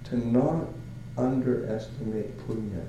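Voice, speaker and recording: An older man from Canada giving a Buddhist talk as a monk, halting and searching for words with many fillers and soft chuckles. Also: mid-range voice, heavy reverb, dull audio, a little hiss or hum. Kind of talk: dharma talk.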